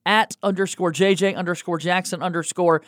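A man's voice: high-pitched, fairly even intonation, moderate pace, fairly bright tone, dry recording, studio quality. A man from North Carolina with an American accent, hosting a sports interview podcast. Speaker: podcast host.

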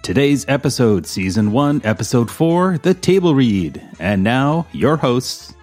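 Man, show intro announcer, dramatic, very varied pitch, mid register, faint music bed. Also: sing-song, measured pace, darkish tone.

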